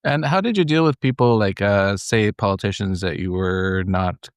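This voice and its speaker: A man's voice: lowish voice, normal speed, smooth, dry recording. Male podcast co-host, dry sound. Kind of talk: casual interview chat.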